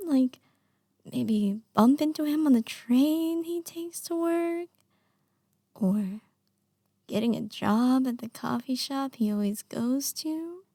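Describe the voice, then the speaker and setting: A woman playing a lovesick, manipulative young yandere under arrest in an intimate audio roleplay, with a breathy delivery that slips into whispers. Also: sits high, mellow, no echo, clean studio sound, full character voice. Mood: shy, anxious. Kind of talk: intimate audio roleplay acting addressed to the listener.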